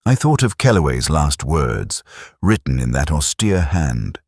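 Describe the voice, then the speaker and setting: A man acts out a weary, melancholy detective telling his own story in a radio mystery. The voice is extremely low, with lively rises and falls in pitch. The delivery is measured and brooding, and the sound is close and dry. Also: slightly rough, no room sound, heavily acted. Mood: pensive. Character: weary, melancholy, reflective male English police detective. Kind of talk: scripted first-person detective story narration.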